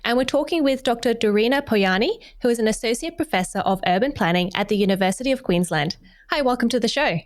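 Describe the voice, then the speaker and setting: A woman's voice: fairly high pitch, animated, fast. A woman from Australia hosting a podcast, reading a scripted welcome and then asking questions in a friendly, slightly hesitant way. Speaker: podcast host. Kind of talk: scripted intro then casual interview.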